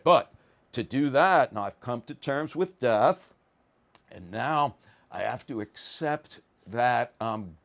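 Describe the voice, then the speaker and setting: An American man from the Bronx, a professor giving an informal lecture in an emphatic, wry, colloquial way. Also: mid-range voice. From the Bronx, New York, USA. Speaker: psychology professor.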